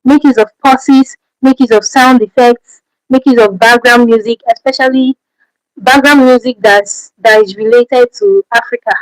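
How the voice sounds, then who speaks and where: A Nigerian woman with a fairly high voice hosts a livestream Q&A in a dry, close-sounding space. She is a native Efik speaker and talks with a Nigerian accent, repeating her main points and tossing out rhetorical questions as she goes. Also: steady tone, moderate pace, dry recording, low-quality recording. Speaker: channel host. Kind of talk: livestream Q&A advice.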